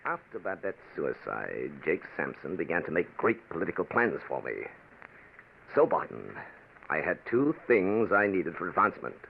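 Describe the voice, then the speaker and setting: Low-voiced, scheming old-radio gambler. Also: male voice, sing-song, moderate pace, creaky, dull audio, exaggerated voice. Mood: brooding, confiding. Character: cold, calculating gambler narrating his own sinister climb. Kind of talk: vintage radio drama acting. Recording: noisy, band-limited vintage radio recording.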